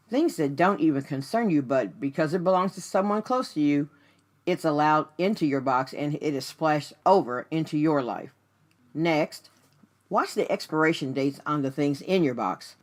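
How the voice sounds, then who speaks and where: A woman hosting a radio show as a life coach, speaking earnestly and directly to the listener in a scripted but conversational motivational style. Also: sits low. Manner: earnest, direct address to the listener with rhetorical questions.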